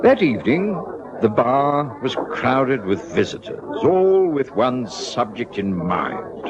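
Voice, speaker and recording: A man playing a genial middle-aged English gentleman doctor and narrator in a radio drama, with a British accent. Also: medium pitch, very animated, slow pace, a crowd in the background. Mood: measured narrating. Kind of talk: radio drama acting and first-person narration. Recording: dull lo-fi radio recording, music behind.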